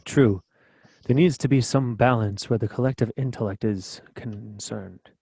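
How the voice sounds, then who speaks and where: A man plays a grave archangel with a soft, mellow voice of middling pitch. He pronounces on destiny in solemn, declamatory phrases, reading a stage play in a dry, close sound. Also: a little slow, creaky, slightly rough, no room sound. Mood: earnest, solemn. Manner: grave, declamatory, occasionally stumbling over the script. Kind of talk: virtual table read of a play.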